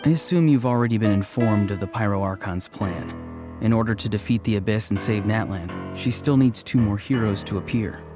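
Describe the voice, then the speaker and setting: A man playing a polite, calm, secretive youth in a video game, speaking in an understated, apologetic manner. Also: on the low side, moderate pace, lightly acted. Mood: matter-of-fact. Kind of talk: English video game voice acting. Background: faint background music.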